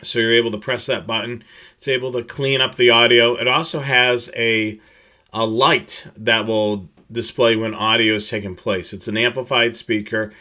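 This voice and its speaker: A man gives an explanatory product presentation in a bright, forceful voice of medium pitch. He walks through details in a steady, instructional manner, halting now and then as he goes. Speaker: presenter of a live product tutorial. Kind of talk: semi-scripted slide presentation, explanatory product rundown.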